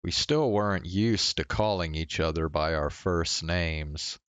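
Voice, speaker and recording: A man's voice: deep voice, a little slow, fairly bright tone, clean tone, no room sound. A man reading visual novel narration aloud in a dramatic first-person storytelling style. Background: faint game music.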